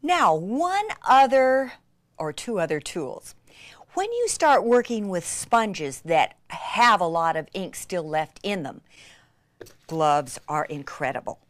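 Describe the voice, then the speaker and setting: A middle-aged woman presenting a chatty craft tutorial in an excitable, gushing manner with dramatic emphasis. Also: on the low side, slow, no echo.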